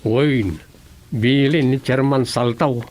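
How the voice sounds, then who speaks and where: A man's voice: medium pitch, slow, darkish tone, natural voice. A man playing an eager, evasive married villager in a radio drama comedy, with an Ilocano accent. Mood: eager, placating.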